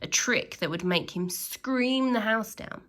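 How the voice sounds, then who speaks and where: A woman reading a children's storybook aloud like a teacher, with animated, dramatic emphasis and little asides to her young listeners. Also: a little high. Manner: expressive, with dramatic emphasis and exclamations; pauses to address young listeners with questions.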